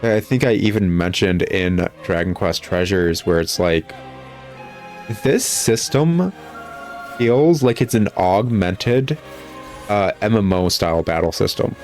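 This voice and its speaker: A man's voice: sits low, lively intonation, takes it slowly, darkish tone, faint music behind. A man giving casual, critical video game commentary in a sarcastic, exasperated, rambling way. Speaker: gaming video host, critic.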